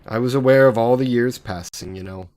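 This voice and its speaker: A man's voice: medium pitch, fairly quick, a little mellow, no room sound, lightly acted. A man playing a sassy, possessive, centuries-old demon in an audio roleplay, speaking haughtily and sardonically in formal wording. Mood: indignant. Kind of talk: scripted audio roleplay addressed to the listener.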